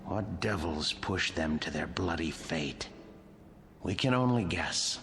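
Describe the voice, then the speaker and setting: An actor playing a devoted, intense museum curator in an audio drama, precise, polite and theatrical, with music underneath. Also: male voice, sits low, expressive, takes it slowly, vocal fry, exaggerated voice. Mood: macabre, theatrical. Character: devoted, brooding middle-aged male wax museum curator, deferential and intense.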